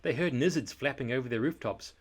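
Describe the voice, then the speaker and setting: A man reading a children's story aloud in a dramatic, expressive and deliberate narration style. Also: mid-range voice, breathy edge. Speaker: storybook reader.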